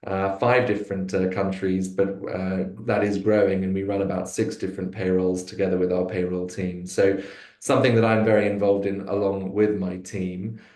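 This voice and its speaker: Low-voiced man hosting a webinar, echoey. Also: little pitch movement, normal speed, a little mellow. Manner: hesitant, many fillers and restarts. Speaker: webinar host. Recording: echoey room.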